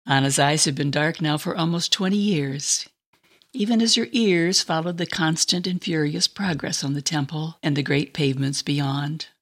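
A woman reading a scripted dramatic narration in an expressive, storybook manner. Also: low-pitched, moderate pace.

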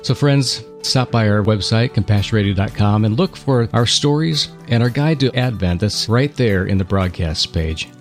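A man's voice: on the low side, animated. Earnest male radio host, faint music. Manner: measured, earnest delivery. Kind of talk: dramatic story reading and radio talk.

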